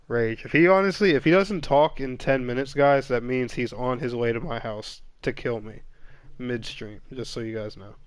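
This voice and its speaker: A man's voice: mid-range voice, clean tone, no echo. Congested male stream host, chatty and apologetic; clean dry sound. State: congested with a cold. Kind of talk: casual gaming podcast chat.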